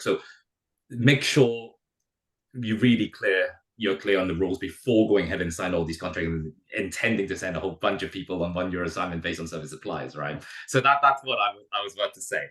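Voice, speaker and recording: A hoarse man with a cold explains visa routes at a rapid clip. His voice sits very low, and he stumbles over repeated words. The room around him echoes. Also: a bit bright. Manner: fast, with many repeated words and restarts. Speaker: immigration adviser. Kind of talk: webinar presentation.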